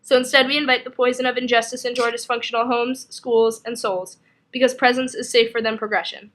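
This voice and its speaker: A woman with a fairly high voice recites spoken word poetry. Her delivery is dramatic and emphatic, shaping each phrase deliberately in a slam style. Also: fairly even intonation, fairly bright tone.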